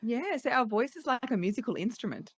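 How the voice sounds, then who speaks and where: A woman's voice: on the high side, expressive, a little fast, breathy edge, no room sound, studio quality. A middle-aged woman with an Australian accent, a voice coach speaking articulately and laughing lightly between points in a podcast interview. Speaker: voice coach guest. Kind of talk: casual interview chat.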